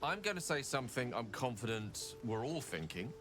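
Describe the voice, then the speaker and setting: Animated, dramatic male adventurer, acted. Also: medium pitch, normal speed, lightly acted. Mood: appalled, suspicious. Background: music.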